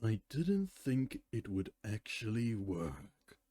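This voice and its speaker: A man's voice: on the low side, very animated, slow, dark tone, exaggerated voice. A man voicing a smug, gleefully menacing female pony princess from a fan story. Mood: musing.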